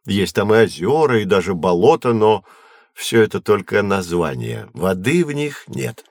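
A knowledgeable man guiding children through astronomy in an audio drama. His voice sits fairly low and is recorded very dry. He explains things patiently, in measured, reassuring phrases. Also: very animated, no echo. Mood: explanatory, patient. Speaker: teacher-guide in educational audio drama.

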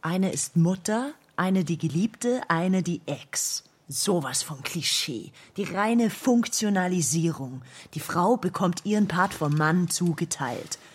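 A woman's voice: lowish voice, lively intonation, slow pace, few pauses, husky, exaggerated voice. An actress in a German radio play playing an analytical, sceptical, outspoken woman with a dry, opinionated way of talking. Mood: scornful.

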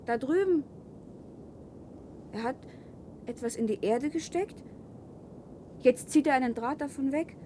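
An actress playing a suspicious, defiant young woman of a wasteland in a science fiction radio play, speaking haltingly. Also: sits high, warm tone, slight background noise. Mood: watchful.

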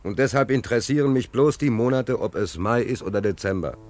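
An actor playing a talkative, wry, soft-hearted homeless angler in a German radio play, colloquial and repeating himself. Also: male voice, medium pitch, animated, brisk, no echo, natural voice. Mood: chatty, wry.

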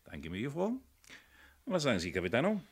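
An actor playing a captain's easygoing, resigned sidekick with a folksy, wry manner in a 1970s German radio crime drama. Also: male voice, medium pitch, wildly swooping pitch, fairly quick, breathy edge, heavily acted. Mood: agreeable.

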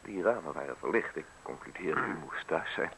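An actor playing a sly, falsely modest male visitor in a radio play, speaking in deliberate, ornate, theatrical phrases with pauses. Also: very deep voice, expressive, pushed and bright, exaggerated voice. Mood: sly, ingratiating. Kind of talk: Dutch radio play acting. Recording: hissy radio drama recording.

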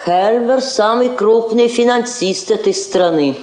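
An actress playing a dignified, dryly ironic woman diplomat in a Soviet radio play, speaking with measured poise. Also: a little high, darkish tone, lightly acted. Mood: composed, wry. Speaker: actor. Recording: roomy old studio recording.

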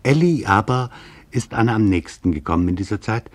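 A sober male narrator with a low, husky voice guides a radio documentary drama. His delivery is grave, measured and deliberate. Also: lively intonation.

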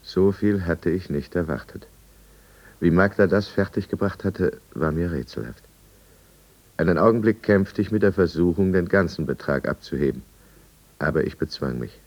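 A brooding drunkard narrating an old German radio play in the first person. His voice is extremely deep. The delivery is deliberate and confessional. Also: expressive, darkish tone. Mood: reflective. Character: brooding male drunkard recounting his own downfall. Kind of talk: scripted radio drama narration, 1950s.

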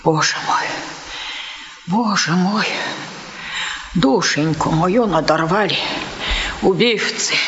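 A man's voice: high voice, takes it slowly, pauses often. An actor playing a wailing, superstitious common tavern man, lamenting in folksy speech in a theatrical radio drama. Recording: dull recording with faint noise.

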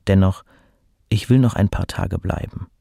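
A man playing a burnt-out poet who reads out his reflective letters in a measured, contemplative way, as scripted diary-like narration. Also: low-pitched, vocal fry, mellow, exaggerated voice. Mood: serene. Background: music behind the voice.

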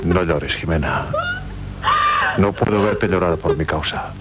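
An actor playing a humble, devoted lieutenant in theatrical radio drama, hesitant and deferential. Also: male voice, a little slow, raised voice. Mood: gentle, deferential. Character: humble, devoted soldier, shyly lovestruck lieutenant. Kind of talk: theatrical 1970s Spanish radio drama acting. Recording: noisy, rumbling 1970s radio recording.

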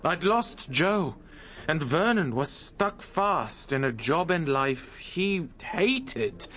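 An actor playing a wry, loyal friend who recounts a life story in a radio drama. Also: male voice, on the high side, sing-song, slow, a bit bright, slight background noise, heavily acted. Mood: reflective. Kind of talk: radio drama acting and narration.

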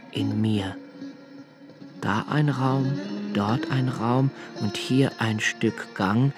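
An actor playing a sensitive, impoverished young poet, speaking an introspective, deliberate monologue in a radio play. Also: male voice, medium pitch, darkish tone, close to an everyday voice. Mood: hushed, remembering. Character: sensitive, brooding, poor young foreign poet and diarist. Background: music.

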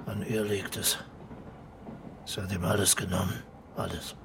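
Gravelly, gruff old drinker, low-voiced. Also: male voice, flat delivery, unhurried, noisy background. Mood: bitter, scornful. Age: older. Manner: blunt, colloquial, terse fragments. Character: gruff, bitter old widowed drinker defending his friend. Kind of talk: naturalistic radio drama dialogue.

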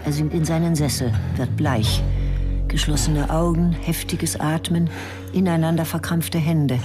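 A woman narrating a radio drama in an even, documentary-like way. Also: deep voice, a little slow. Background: music.

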